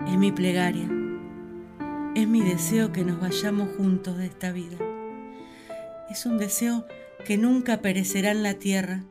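An actress playing a devoted, thoughtful wife in a radio play, speaking in an earnest, reflective, measured way. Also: female voice, mid-range voice, fairly even intonation, over loud music, close to an everyday voice. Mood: solemn, devoted. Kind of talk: radio play monologue, dramatized diary.

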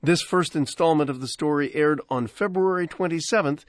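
A man's voice: a little high, normal speed, dry recording, studio quality. A man with an American accent hosting a radio show, presenting scripted introductions in articulate phrases. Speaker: radio host. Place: dry radio studio.

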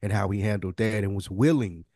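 A man's voice: on the low side, a little fast, mellow, dry recording, clean studio sound. A man co-hosting a podcast in casual conversation, laid-back and slangy in how he talks. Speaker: podcast co-host.

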